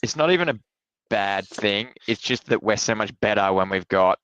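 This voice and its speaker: A man with an Australian accent giving measured, explanatory sports analysis on a podcast. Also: lowish voice, lively intonation, a bit bright, clear, resonant tone, dry recording, muffled recording. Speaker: podcast co-host.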